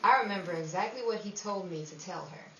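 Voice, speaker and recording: A woman's voice: mid-range voice, quick pace, a bit bright, close to an everyday voice. A woman playing a world-weary, streetwise narrator of a 1930s underworld tale, in dramatic old-time radio style. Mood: somber. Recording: echoey, distant mic, dull, faint hiss.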